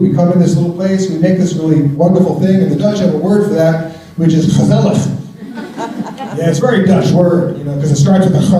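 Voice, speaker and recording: A man's voice: high voice, dark tone. An American man performing a stand-up comedy set on stage in front of a live audience, talking in a wry, conversational way and chuckling at his own jokes. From United States. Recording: roomy theater sound, mic at a distance.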